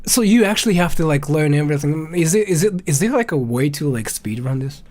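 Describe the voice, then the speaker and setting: A man hosting a casual online chat, speaking slightly high with a very lively, swinging pitch. He is excitable, laughs easily and often says things twice over a video call. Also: brisk. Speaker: streamer host. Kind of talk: casual live-stream chat.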